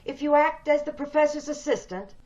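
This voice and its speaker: A woman's voice: high-pitched, brisk, a little mellow, lightly acted. A woman playing a bitter, wealthy, commanding woman in an old-time radio drama. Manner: cold, insistent, commanding. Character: wealthy, determined, bitter ugly woman scheming for a new body. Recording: dull video-call audio.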